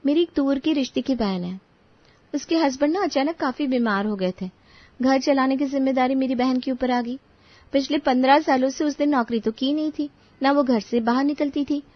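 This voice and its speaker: A woman voicing an outspoken adult friend in an animated story, talking in a frank, persuasive way. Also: high-pitched, moderate pace, dry recording. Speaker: voice actor. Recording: dull, dry studio recording.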